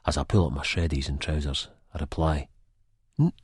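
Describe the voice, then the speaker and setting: An actor playing an anxious Scottish man in a first-person audiobook, with a deep, husky voice. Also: normal speed, a little mellow, no room sound, clean studio sound, full character voice. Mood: panicked dread. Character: anxious Scottish man narrating in sheer terror of an old enemy.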